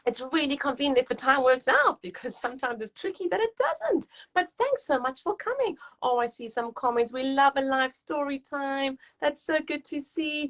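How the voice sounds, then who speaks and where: High-pitched, very animated woman hosting children's story time. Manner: encouraging, gushing, sing-song child-directed talk. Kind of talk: live read-aloud and chat addressed to young children.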